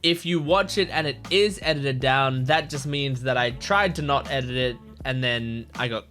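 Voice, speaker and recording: A man hosting a reaction video in a bright, forward voice at an average pitch. He rambles informally through his commentary and swears freely, with faint music around him. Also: normal speed.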